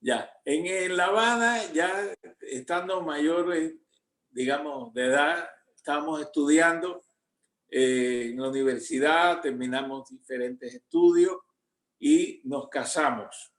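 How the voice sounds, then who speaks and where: Older Cuban grandfather telling stories, slightly high voice, reflective pace. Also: fairly bright tone, echoey room. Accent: Cuban Spanish. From Cuba. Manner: slow, reflective storytelling. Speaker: grandparent being interviewed. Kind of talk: recounting memories.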